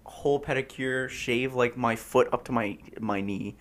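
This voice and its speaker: A young man in his twenties, co-hosting a podcast in animated, joking casual chat. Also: medium pitch, normal speed. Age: 20s. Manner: animated, jokey, says bro a lot. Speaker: podcast co-host.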